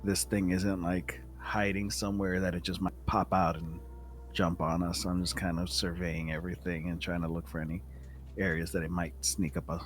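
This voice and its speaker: Male game player, fairly low pitch, casual action narration over background music. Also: level tone, moderate pace. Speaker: tabletop roleplaying game player.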